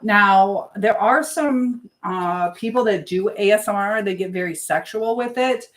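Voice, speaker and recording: A woman speaks casually as the host of a live stream, in a medium-pitched voice. She rambles from thought to thought in a loose, unscripted way, like someone talking while busy with chores. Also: measured pace, a bit bright. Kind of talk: casual live chat monologue.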